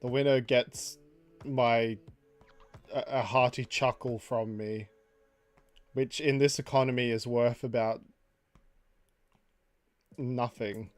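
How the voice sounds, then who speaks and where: A man casually chatting on a livestream while he draws, reading out chat messages, laughing often and jumping up in pitch for jokes. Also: fairly even intonation, takes it slowly, pauses often, no room sound, faint music behind.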